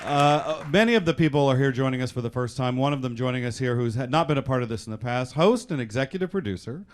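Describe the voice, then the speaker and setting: A man's voice: mid-range voice, fast. A man hosting a live stage show, introducing performers in an announcer-like way with conversational asides. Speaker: host and director introducing a cast. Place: theatre-style performance space before an audience. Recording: live hall, clear crowd noise. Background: audience noise.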